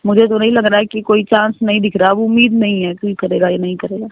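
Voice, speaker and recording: A woman's voice: medium pitch, little pitch movement, fast, creaky, no room sound. A woman teacher, tearful and pleading as she airs a grievance in a distressed phone conversation, repeating herself. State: tearful, crying. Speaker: teacher phoning about a transfer grievance. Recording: narrow-band recorded phone call.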